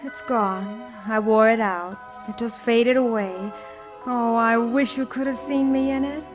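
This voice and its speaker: A woman's voice: fairly high pitch, measured pace, music in the background, natural voice. An actress playing a naive, dreamy young working-class shop girl in a 1950s musical radio drama, speaking in a wistful, guileless, gushing way. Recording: old 1950s radio broadcast.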